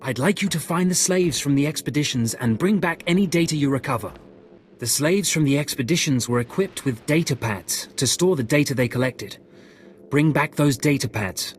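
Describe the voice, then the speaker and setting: An actor playing an earnest, worried Imperial expedition organizer in a video game, grave and businesslike. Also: male voice, fairly high pitch, expressive, normal speed, a little hiss or hum, natural voice. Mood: urgent, persuasive. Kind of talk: video game cutscene acting.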